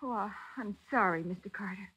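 An actress playing an earnest, emotional married daughter in a 1940s radio drama, tender and pleading, with a husky voice. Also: female voice, mid-range voice, moderate pace, pushed and bright. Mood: wistful. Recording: muffled 1940s radio broadcast.

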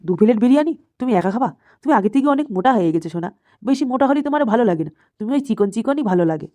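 A woman's voice: a little high, animated, racing, dark tone, close to an everyday voice. A woman voicing a sly old grandfather who coaxes and pleads with his lover in an amateur comedy cartoon. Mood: coaxing. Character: wheedling, cheating old grandfather placating his secret lover.

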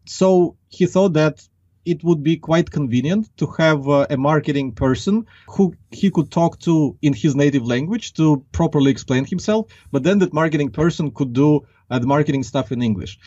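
A man's voice: on the high side, moderate pace, mellow, dry recording. A man in his thirties from Ukraine with a Ukrainian accent, talking conversationally as an interview guest. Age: 30s. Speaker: interview guest, marketing executive. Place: small acoustically padded room. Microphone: large desk microphone, close. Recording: slightly dull, band-limited online call.

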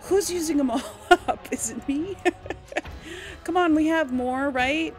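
A woman giving casual, expressive gameplay commentary, reacting aloud and talking to the game's characters. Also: high-pitched, takes it slowly. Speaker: gamer narrating a role-play let's play. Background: game music behind the voice.

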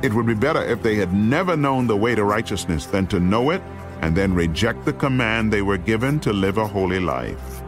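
Male actor as grave apostle warning his flock, lowish voice, oratorical, deliberate, dramatized scripture, faint music underneath. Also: expressive, lightly acted. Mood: stern, denouncing.

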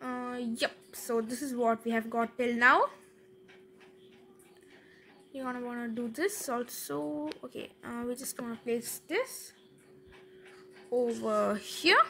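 Woman, high bright voice, casual tutorial narrator, chatty with fillers, faint hiss behind. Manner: chatty, fillers, quick laughs, thinks aloud while building. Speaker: Minecraft building tutorial creator.

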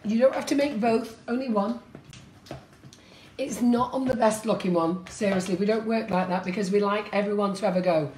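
Husky-voiced woman hosting a live baking show, echoey kitchen with clatter behind. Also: medium pitch, a little mellow, a little hiss or hum. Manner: laughs a lot, brisk and chatty. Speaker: host of a live baking stream. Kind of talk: chatty live stream presenting. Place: large home-style kitchen.

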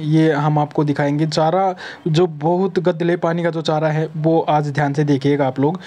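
A man hosting a fishing channel, greeting viewers and wrapping up the video outdoors in a promotional way. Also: fairly high pitch. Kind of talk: video intro and outro. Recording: loud outdoor noise.

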